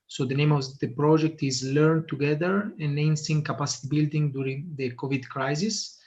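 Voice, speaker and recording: A man moderating an online debate over a video call, pausing often with fillers. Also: a little high, steady tone, darkish tone. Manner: hesitant, many fillers. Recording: muffled video call audio.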